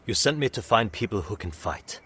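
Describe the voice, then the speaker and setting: An actor playing a pragmatic, blunt but loyal island raider in a video game cutscene. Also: male voice, lowish voice, expressive, brisk, a bit bright, husky, heavily acted. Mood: wary.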